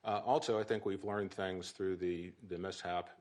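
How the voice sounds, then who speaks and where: A middle-aged man speaking as an aviation official at a press briefing, measured and formal with frequent restarts. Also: low-pitched, fairly even intonation, darkish tone.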